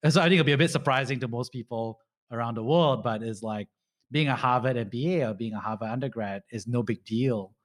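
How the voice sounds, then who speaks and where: A man's voice: medium pitch, a little fast. A man in his thirties hosting a podcast, giving casual advice in a rambling way full of "you know" and "right?". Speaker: podcast host.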